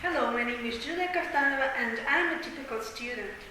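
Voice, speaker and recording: Young woman, Ukrainian accent, student, halting speech, bright high voice, echoey room. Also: a little hiss or hum. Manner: halting, searching for words. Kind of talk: classroom role-play. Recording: echoey classroom.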